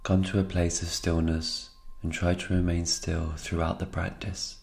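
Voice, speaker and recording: A man with a very deep voice leads a guided meditation. His delivery is calm and soothing, staying flat and even in tone, with a gravelly creak at the edges. He leaves unhurried pauses between short phrases. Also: soft background music. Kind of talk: slow guided meditation narration.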